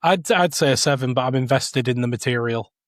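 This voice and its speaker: A man's voice: medium pitch, a little fast, no echo, clean studio sound. A man co-hosting a podcast, talking casually with a fan's enthusiasm. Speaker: podcast co-host. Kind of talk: casual review chat.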